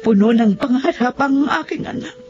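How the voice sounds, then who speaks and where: A woman's voice: on the high side, moderate pace, mellow, close to an everyday voice. A woman playing a devoted, sentimental middle-aged mother in a radio drama, speaking with emotion and hesitation. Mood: tearful, tender. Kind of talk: Tagalog radio drama acting. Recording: muffled radio drama audio with music.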